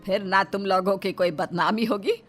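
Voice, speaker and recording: A woman's voice: medium pitch, fairly even intonation, normal speed, soft background music, lightly acted. A woman playing a wounded but determined elderly widowed mother in a melodramatic family story, impassioned and pleading. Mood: resigned, firm.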